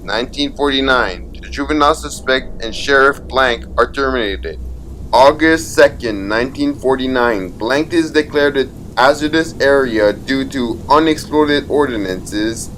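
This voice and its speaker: A man narrates a scripted document in a formal, matter-of-fact manner. His voice sits at an average male pitch, and he trips slightly over the odd word. A low rumbling noise runs clearly behind the recording. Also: a bit bright, low-quality recording. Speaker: channel narrator reading a document aloud. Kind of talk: scripted narration of a fictional archive log.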